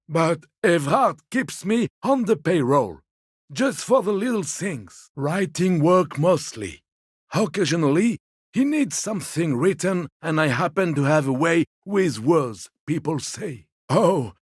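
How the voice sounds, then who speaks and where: A man voicing a genteel, fussy old man in a video game, a husky voice and a polite, wheedling, quietly petty manner. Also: a little high, dry recording, studio quality, heavily acted. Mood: modest, chatty. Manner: polite, wheedling, theatrically indignant. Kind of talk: video game character dialogue.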